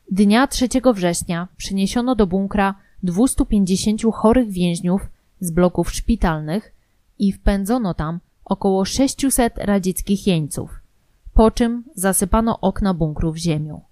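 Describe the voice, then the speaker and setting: A woman's voice: medium pitch, mellow, no echo. A woman narrating a scripted historical true-crime audio programme.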